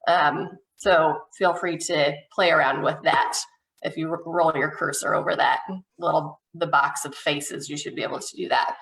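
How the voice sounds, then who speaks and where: A woman's voice: deep voice, steady tone, moderate pace, gravelly, fairly bright tone. A woman hosting a virtual event on a video call, giving casual announcements and instructions. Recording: echoey, distant microphone.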